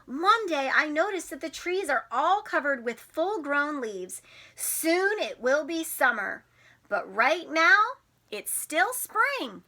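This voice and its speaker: High, bright-voiced woman teaching young children. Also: very animated, takes it slowly. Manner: explains simply to children, asking them questions. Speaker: children's teacher reading aloud. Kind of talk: picture-book read-aloud for young children.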